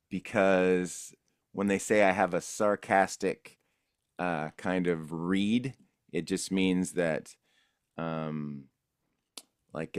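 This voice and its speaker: A man's voice: sits low, clean tone, no echo, studio quality. A male voice actor casually recalling past jobs in an interview, in an easygoing, rambling way. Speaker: voice actor guest.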